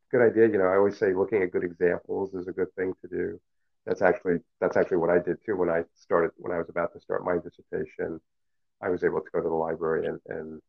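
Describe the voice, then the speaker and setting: A middle-aged professor whose first language is Cantonese speaks in a low, gravelly voice. He answers slowly and thoughtfully, with many fillers and restarts. The sound is narrow and tinny, like a phone line. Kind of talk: interview answers.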